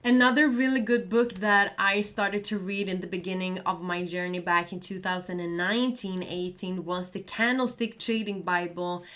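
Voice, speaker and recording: A woman's voice: lowish voice, fairly even intonation, normal speed, few pauses, a bit bright. A woman with a Swedish accent, Swedish being her first language, talking casually and earnestly to camera as a trading vlogger, encouraging and repeating herself. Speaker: crypto trading YouTuber. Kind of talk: casual recommendation talk to camera.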